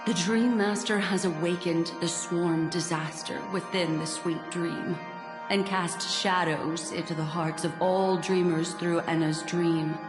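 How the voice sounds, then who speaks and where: An actress playing a poised, mysterious memory keeper in a video game, speaking in a measured, formal, elegant way. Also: female voice, fairly low pitch, steady tone, close to an everyday voice. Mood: grave. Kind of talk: scripted video game story dialogue. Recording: echoey reverb, loud game music behind.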